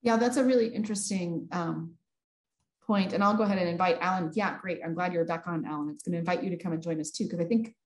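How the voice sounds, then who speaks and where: A woman's voice: fairly low pitch, fast talker, a little mellow. A woman in her thirties moderating an academic discussion over a video call from an office. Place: indoor office. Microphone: video call, computer mic.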